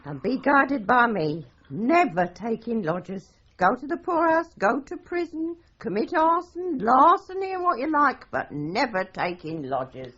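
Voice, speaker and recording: A garrulous English landlady in a radio play. Her husky voice swoops theatrically through every grand, indignant phrase. The recording is dull and muffled. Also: a little high, very animated, a little slow, few pauses, fairly bright tone, exaggerated voice. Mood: emphatic, bossy. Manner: theatrical, florid, declamatory storytelling. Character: garrulous, dramatic London landlady, respectable and indignant. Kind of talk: 1980s radio drama acting.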